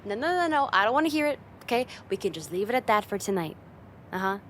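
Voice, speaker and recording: A woman's voice: mid-range voice, sing-song, fast, slight background noise, natural voice. A woman playing a friend's teasing older sister in a casual, conversational ASMR roleplay spoken straight to the listener. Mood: flustered, bossy.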